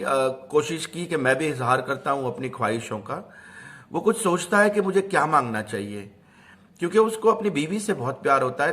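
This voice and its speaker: Male storyteller, medium pitch, informal motivational delivery, explanatory with repeated phrases for emphasis. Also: a bit bright.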